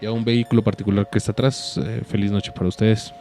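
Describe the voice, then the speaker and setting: A man's voice: fairly low pitch, little pitch movement, fast, dark tone. A Colombian man co-hosting a live radio show, chatting casually as he handles the phone lines. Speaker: radio co-host taking calls. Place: radio studio. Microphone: studio broadcast microphone. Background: faint music.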